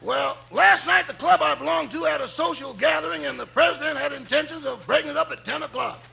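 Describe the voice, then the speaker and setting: An actor playing a sly, cheekily evasive household servant in a gravelly voice, in 1950s radio comedy style. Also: male voice, very high-pitched, fairly quick, sharp tone, exaggerated voice. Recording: old 1950s radio broadcast.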